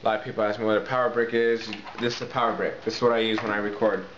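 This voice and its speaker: A man's voice: lowish voice, level tone, rapid-fire, a bit bright. Man giving casual tutorial, muffled echoey sound. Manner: informal, addresses viewers as guys and people, repeats points for emphasis. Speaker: tutorial presenter, talk box player. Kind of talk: casual hands-on how-to video. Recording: muffled, echoey, noisy amateur video sound.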